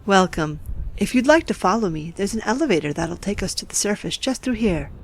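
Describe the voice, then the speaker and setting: An actress playing a gracious but drily sardonic alien administrator in a sci-fi audio drama, formal and polished. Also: female voice, medium pitch, expressive, fairly quick, a little hiss or hum, natural voice, poor audio. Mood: composed. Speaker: voice actor.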